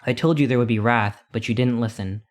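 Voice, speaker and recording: A man voicing a booming, wrathful male God who speaks from the sky with stern, slow commands. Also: natural voice. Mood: scolding.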